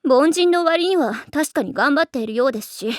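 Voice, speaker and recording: A prim, pompous schoolgirl of the refined young-lady type in an anime. Her voice is high and swings widely in pitch. She is strict and sharp-tongued, in a dry recording. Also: lively intonation, brisk, no room sound. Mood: condescending. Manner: prim, pompous ojou-sama diction. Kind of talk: anime voice acting.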